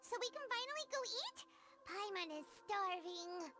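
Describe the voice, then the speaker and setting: Squeaky, childlike fairy sidekick. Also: female voice, lively intonation, normal speed, heavily acted. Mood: relieved, whiny. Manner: squeaky, speaks of herself in third person. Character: tiny childlike flying fairy companion, whiny, excitable. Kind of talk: video game voice acting. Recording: loud music behind.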